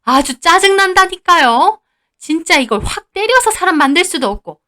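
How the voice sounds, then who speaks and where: A woman's voice: very high-pitched, sing-song, normal speed, pushed and bright, voice pushed up, exaggerated voice. A woman voicing a furious, contemptuous older mother-in-law in a dramatized story, scolding harshly. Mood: furious contempt. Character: furious, spiteful older mother-in-law berating her daughter-in-law.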